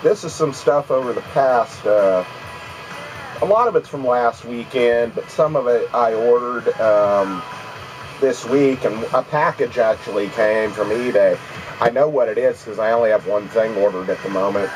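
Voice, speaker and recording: A man's voice: medium pitch, normal speed, background noise. A man with a congested voice, rambling casually with lots of ums as he vlogs about his video game pickups at home. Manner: rambling, many ums and restarts. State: congested from allergies. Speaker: video game collecting vlogger.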